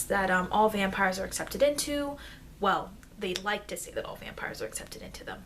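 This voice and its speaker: A woman playing a chatty, informal immortal vampire teacher in an ASMR roleplay, rambling hesitantly and confidingly, with soft dips into whispering. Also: medium pitch, lightly acted. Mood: chatty, earnest. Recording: noisy amateur microphone.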